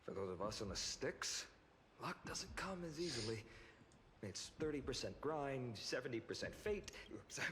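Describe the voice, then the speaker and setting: A man's voice: medium pitch, expressive, moderate pace, a bit bright, big-room sound, a little hiss or hum, close to an everyday voice. A man playing an easygoing, teasing young adventurer in a video game, chatty and playfully theatrical. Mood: musing, chatty. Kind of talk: video game character acting.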